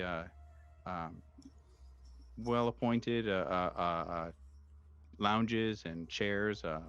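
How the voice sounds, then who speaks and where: A low-voiced man acts as game master for a tabletop horror session. He describes scenes off the cuff in halting, searching phrases, in a fairly unprocessed voice. Music plays clearly behind him. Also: a bit bright, muffled recording. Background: background music.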